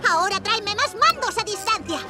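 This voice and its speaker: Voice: very high-pitched, lively intonation, a little fast. An actor from playing a gleeful, mischievous boy villain and boastful inventor in a cartoon dub, taunting and gloating. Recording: loud cartoon music behind.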